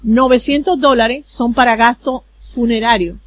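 A woman's voice: fairly high pitch, steady tone, moderate pace. A woman from the Dominican Republic, with a Dominican accent, speaking as a consular official in an interview and explaining procedures earnestly with everyday examples. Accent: Dominican Spanish. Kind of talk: radio interview guest, explaining.

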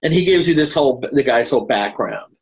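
A man talking casually as a podcast co-host, haltingly, often repeating and correcting his words. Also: mid-range voice, lively intonation, husky, rough recording. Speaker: podcast co-host reviewing horror films. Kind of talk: casual film discussion.

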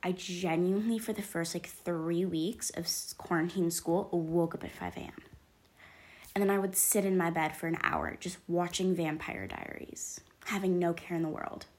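A woman's voice: on the low side, animated, normal speed. A teenage girl with sniffles from allergies, talking openly to her camera in a rambling, casual vlog. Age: late teens. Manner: chatty, rambling, lots of ums and likes. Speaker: vlogger.

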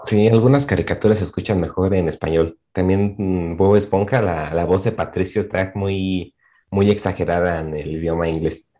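A man's voice: low voice, steady tone, normal speed, warm tone. A young man with a Mexican accent chatting casually with friends, laid-back and slangy. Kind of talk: casual chat among friends.

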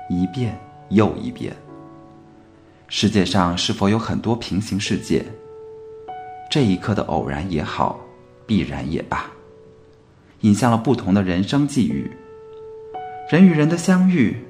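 A man's voice: lowish voice, darkish tone. A man presenting a podcast, reading a scripted literary reflection in an earnest, contemplative way.

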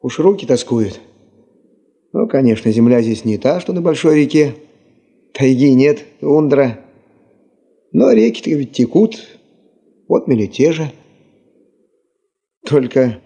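An actor playing a loyal, deferential gold panner with a folksy way of talking in a radio drama. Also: male voice, mid-range voice, lively intonation, unhurried, vocal fry, long pauses, dark tone, husky, full character voice. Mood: wistful. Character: humble, loyal male gold panner, homesick for work.

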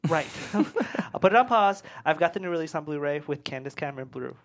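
A man performs a teasing best friend with a dramatic streak. He rattles through his comedy lines quickly, in table-read style. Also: fairly high pitch, lively intonation. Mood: playful.

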